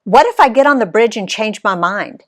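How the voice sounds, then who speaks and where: A woman's voice: medium pitch, expressive, normal speed, lightly acted. A woman reading aloud and voicing a nervous mother in her forties who hesitates and whines about making a bungee jump. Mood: reluctant. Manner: hesitant and whiny.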